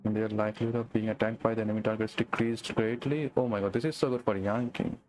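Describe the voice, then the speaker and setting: A man's voice: lowish voice, fairly even intonation, fast, a little mellow, soft background music. A man giving casual game playthrough commentary, reacting off the cuff and reading on-screen text aloud with some stumbles. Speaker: game streamer.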